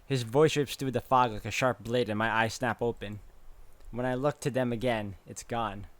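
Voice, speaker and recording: A man's voice: mid-range voice, steady tone, moderate pace, no echo, natural voice. A man playing a brooding, uneasy protagonist, narrating a visual novel in a dramatic, introspective first-person style. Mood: ominous, dread.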